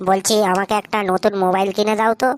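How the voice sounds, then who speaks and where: A woman's voice: medium pitch, flat delivery, fast talker, very noisy, natural voice. A woman voicing foul-mouthed, cocky young village men who taunt and swear in a comedy cartoon. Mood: defiant, whining.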